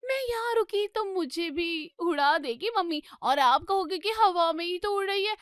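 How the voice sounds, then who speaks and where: A woman puts on a cheeky young daughter for a comedy drama. The voice is very high, with a playful, mocking lilt, and the sound is dry. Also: animated, normal speed, no room sound. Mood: teasing. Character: cheeky teasing twenty-two-year-old daughter. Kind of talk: Hindi comedy story dramatisation.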